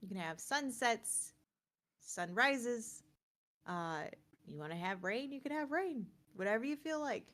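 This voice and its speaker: A woman's voice: on the high side, expressive, unhurried, a bit bright. A woman co-hosting a games show, moving between casual chat and scripted review narration. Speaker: show co-host and game reviewer.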